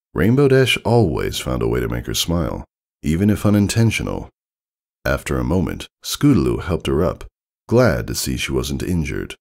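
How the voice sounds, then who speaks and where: A man narrates scripted fiction in a very low voice with a gravelly creak. His delivery is dramatic and measured, with extremely animated swings in pitch. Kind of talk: expressive scripted story narration.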